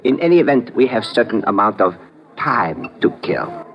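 An actor playing a polite, menacing Japanese fanatic in a 1950s radio drama, with a Japanese accent and formal, article-dropping phrasing. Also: male voice, on the low side, sing-song, normal speed, highs cut off. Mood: courteous, calculating. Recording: noisy vintage 1950s radio recording.

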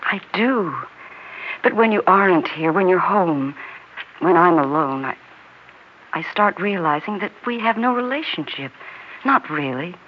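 The other woman, American, lonely, wounded, clear-eyed; 1960s radio drama on a muffled, faintly noisy recording. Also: fairly low pitch, animated, unhurried, long pauses. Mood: hurt, resigned.

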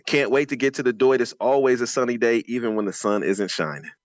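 A man's voice: medium pitch, fairly quick. A man giving casual, conversational sports analysis on a podcast.

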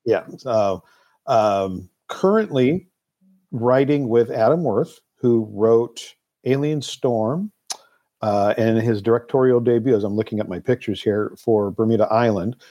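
A man giving a casual interview as a film producer and guest, speaking in a halting, thoughtful way. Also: mid-range voice, animated, dark tone. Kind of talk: casual talk-show interview.

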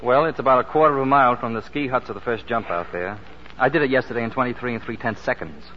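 A man's voice: mid-range voice, fast talker, lightly acted. A man acting a dashing, impulsive suitor in an old radio drama, with a suave manner. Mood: breezy. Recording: muffled, noisy 1940s radio recording.

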